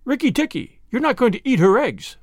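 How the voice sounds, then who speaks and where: A man reading aloud and voicing an excitable, feather-brained little male songbird in a gushing, sing-song way. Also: extremely high pitch, a little fast, dry recording, studio quality, voice pushed up, heavily acted.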